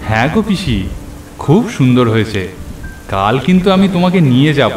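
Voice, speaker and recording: A man's voice: medium pitch, big pitch swings, normal speed, a little mellow, slight background noise, natural voice. A man playing a young nephew who narrates a horror cartoon story in a dramatic way. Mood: easy, affectionate. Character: young nephew narrating his night of terror. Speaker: narrator and character. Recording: roomy echo, faint rain and thunder.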